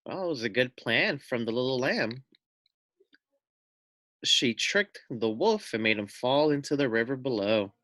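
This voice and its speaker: Slow, animated man reading aloud. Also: mid-range voice, no echo. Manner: addresses listeners with questions and asides. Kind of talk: expressive children's story read-aloud.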